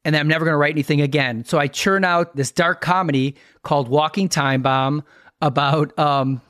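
Male American podcast host, middle-aged, wry and self-deprecating, higher voice with a dry, roomless sound. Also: steady tone, brisk, a bit bright, dry recording. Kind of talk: conversational storytelling.

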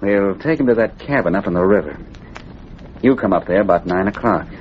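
A man acting as a curt, bossy gangster in a vintage radio crime play. His low voice carries smug menace, heard through a muffled, faintly noisy old recording. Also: lively intonation, brisk, natural voice. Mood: threatening. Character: menacing male gambling racketeer boss, bullying and smug.

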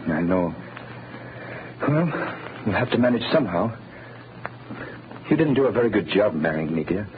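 A man's voice: on the low side, animated, normal speed, lightly acted. An actor in an old-time radio drama playing an earnest, pleading out-of-work husband and father. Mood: weary, discouraged. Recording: muffled, noisy old-time radio recording.

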